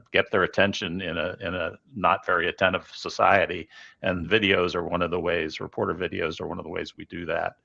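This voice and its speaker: Very gravelly, low-pitched man. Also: sharp tone, no echo, dull audio. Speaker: newspaper journalist guest. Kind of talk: casual interview answer.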